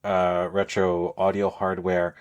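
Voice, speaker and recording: A middle-aged man hosting a casual podcast, talking in a measured, hesitant way with frequent pauses. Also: deep voice, monotone, highs cut off. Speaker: podcast host. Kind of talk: casual gaming podcast chat.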